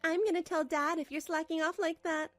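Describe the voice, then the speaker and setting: A woman's voice: very high voice, fairly even intonation, fairly quick, fairly bright tone, soft background music. A woman voicing a bratty, contemptuous sixteen-year-old schoolgirl in a manga dub, snotty and dismissive. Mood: irritated.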